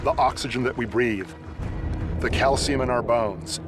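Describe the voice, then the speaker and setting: A man's voice: medium pitch, normal speed, music in the background. A man explaining science as an interviewee in a documentary.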